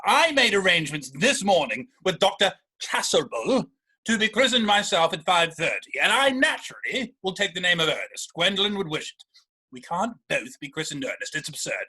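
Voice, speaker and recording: Seeking a male actor for an indignant young gentleman in period stage comedy, high-pitched and forceful. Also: big pitch swings, moderate pace, breathy edge, exaggerated voice, poor audio. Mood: insistent. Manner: emphatic, indignant, formal diction. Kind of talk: rehearsed reading of a Victorian stage comedy.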